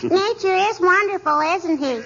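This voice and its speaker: A woman's voice: very high-pitched, fairly bright tone, dull audio. A woman playing a pert, inquisitive little neighbor girl with a childish manner in a 1940s radio comedy. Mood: curious, innocent. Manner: childish, repeats catchphrases, drawn-out questions. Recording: scratchy, noisy 1940s radio recording.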